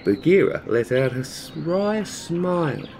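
A man tells a children's story at a slow pace. His voice is a little higher than most men's, and he narrates expressively with dramatic pauses. There is clear noise behind him. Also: big pitch swings, a little mellow. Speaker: storyteller, channel host.

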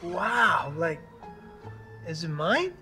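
A man playing an excitable, bumbling guy with a high, crazy-sounding voice in a sitcom. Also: extremely slow, soft background music, exaggerated voice. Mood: awkward. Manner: yelps and stammers excitedly. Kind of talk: sitcom acting.

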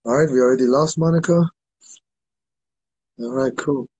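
A man's voice: mid-range voice, moderate pace, mellow, slightly rough, dull audio. A man in his thirties hosting a video call interview, upbeat and direct with short prompts. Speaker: host. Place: small room at home. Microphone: video call, webcam mic.

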